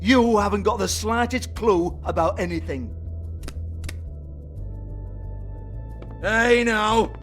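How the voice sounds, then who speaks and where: Shifty British club boss for a game: high-pitched, sneering, foul-mouthed, taunting. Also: male voice, a little slow, a bit bright, faint music behind. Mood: sarcastic. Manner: sarcastic, sweary, taunting. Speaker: game character, shady club owner. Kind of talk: video game voice acting.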